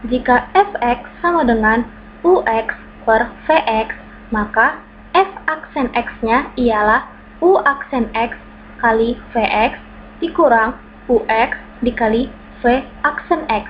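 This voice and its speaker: A woman with a slightly high voice reads through formulas and worked examples like a tutor. She goes step by step in a steady, methodical way. The recording sounds dull and lo-fi, with a clear hum running underneath. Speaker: math tutor. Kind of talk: reading out a math lesson.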